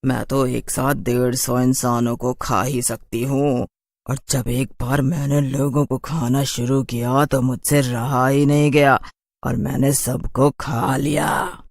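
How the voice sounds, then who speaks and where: A man voicing a sly, boastful city witch in a cartoon horror story, in a slow drawl. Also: medium pitch, little pitch movement, creaky, no room sound, clean studio sound.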